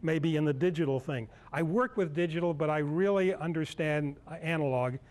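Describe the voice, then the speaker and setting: A man in his sixties giving an informal live demonstration as a lecturer, halting as he searches for words. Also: a little high, darkish tone. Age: 60s. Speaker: lecturer at a club workshop. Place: indoor meeting room, speaking to a group.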